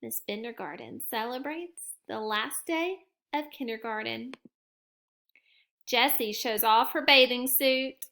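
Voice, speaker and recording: A woman teacher reading a picture book aloud to her young students in a gentle, expressive storytime manner. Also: on the high side, takes it slowly. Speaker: kindergarten teacher.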